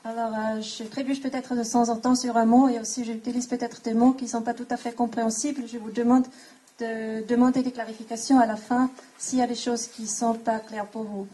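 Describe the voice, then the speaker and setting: A woman's voice: fairly high pitch, little pitch movement, normal speed, mellow. A woman speaking French as a second language, introducing her equine coaching work at a conference, hesitant and searching for words. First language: not French. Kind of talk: conference talk.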